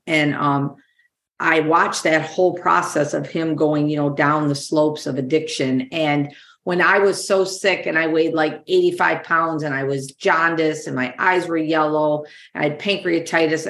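Low, creaky-voiced woman in an echoey room. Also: a bit bright. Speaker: podcast host. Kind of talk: conversational interview, sharing own experience. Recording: roomy, echoing sound.

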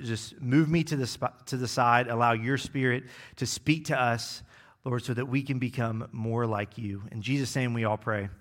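Bright-voiced young pastor preaching casually. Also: male voice, mid-range voice. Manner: relaxed, joking, filler-heavy delivery. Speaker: executive pastor. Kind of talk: casual sermon. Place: church.

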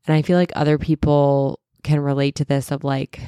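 A woman in her thirties hosting a casual solo podcast, chatty and full of "like"s, a little stuffy and raspy from a cold. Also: low voice, little pitch movement, normal speed, mellow, dry recording, clean studio sound. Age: 30s. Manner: chatty, many "like"s and self-corrections. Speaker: podcast host and wellness coach.

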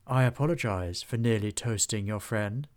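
A man voicing a slow, courteous, ancient female dragon in a storybook reading. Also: fairly low pitch, natural voice. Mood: friendly.

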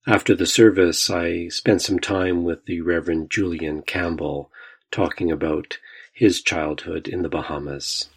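A man hosting a program, reading a scripted introduction in a steady, read-aloud way. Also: low-pitched, unhurried, gravelly, husky. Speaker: host narrating an introduction. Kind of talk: scripted narration.

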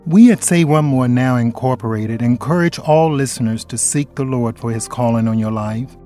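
Guy reading a bible lesson, steady and earnest, faint music in the back. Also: medium pitch, moderate pace. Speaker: Bible study co-host. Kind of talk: scripted Bible teaching.

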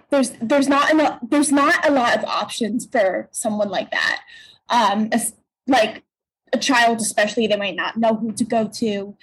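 A woman's voice: on the high side, slightly rough, poor audio. A young American woman with a childlike voice, talking casually and reflectively as an interview guest, often hesitating as she goes. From Pennsylvania, United States. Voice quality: childlike, youthful tone. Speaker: voice and stage actor, interview guest.

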